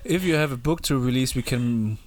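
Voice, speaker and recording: A young man with a German accent chats casually as a podcast co-host, with a voice of ordinary pitch. He jokes around and often stumbles and restarts his sentences. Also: brisk, a little hiss or hum. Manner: joking, hesitant with many restarts. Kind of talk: casual chat.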